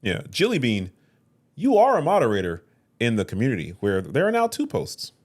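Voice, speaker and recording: Animated podcast co-host man. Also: brisk, breathy edge. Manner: playful, animated, swings up high when joking. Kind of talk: casual podcast chat.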